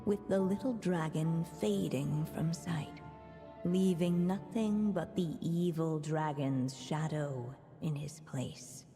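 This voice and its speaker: A woman's voice: low-pitched, slow pace, dark tone, faint music behind. A woman voicing a poised, knowing storyteller in a video game, theatrical and formal, with storybook phrasing. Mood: solemn, knowing. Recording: long echoing reverb.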